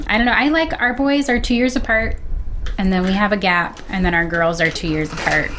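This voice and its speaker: A woman's voice: mid-range voice, fairly quick, fairly bright tone, a little hiss or hum. A middle-aged woman chatting casually as a guest on a livestream. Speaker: guest on her brother's stream. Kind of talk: casual chat.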